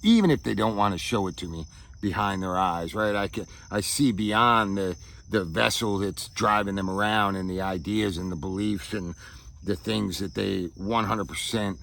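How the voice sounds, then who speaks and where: A man giving a reflective personal monologue as a vlogger, halting as he searches for words and often checking in with a casual tag question, recorded outdoors. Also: sits low, vocal fry, fairly bright tone. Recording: outdoor ambience with insects chirping.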